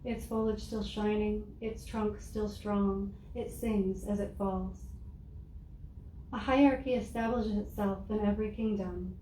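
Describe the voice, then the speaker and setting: A soft-spoken woman reading her own poems aloud in a measured, deliberate way to a live audience. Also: mid-range voice, fairly even intonation. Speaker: poet reading her work. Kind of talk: poetry reading. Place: room with an audience. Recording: roomy, distant mic with faint noise.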